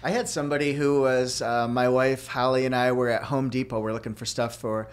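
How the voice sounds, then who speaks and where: A man's voice: mid-range voice, level tone, a little fast, clean tone. Middle-aged male podcast host with a youthful voice, loose storyteller. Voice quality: youthful-sounding voice. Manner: chatty storyteller with many fillers and restarts. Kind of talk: casual chat.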